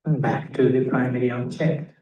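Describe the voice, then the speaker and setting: A man teaching guided meditation in a measured way, pausing between short phrases. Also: medium pitch, steady tone, highs cut off. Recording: roomy echo, distant microphone.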